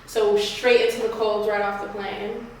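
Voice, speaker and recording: A woman's voice: mid-range voice, little pitch movement, normal speed. A woman co-hosting a podcast who laughs easily and fills her talk with "like". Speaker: podcast co-host. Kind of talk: casual chat. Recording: echoey room, far from the mic, faint noise.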